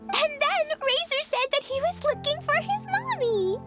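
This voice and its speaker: A woman's voice: very high-pitched, expressive, unhurried, over loud music. A woman playing an innocent, bubbly, playful little girl in a video game, with a small childlike voice and an eager, earnest manner. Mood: chatty. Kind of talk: video game cutscene acting.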